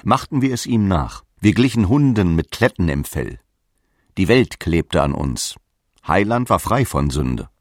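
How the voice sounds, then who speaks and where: A deep, slow, stern sect zealot. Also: male voice, sing-song, a little mellow, dry recording, clean studio sound, exaggerated voice. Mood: stern, grave. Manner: slow, preaching, severe. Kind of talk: solemn radio drama monologue.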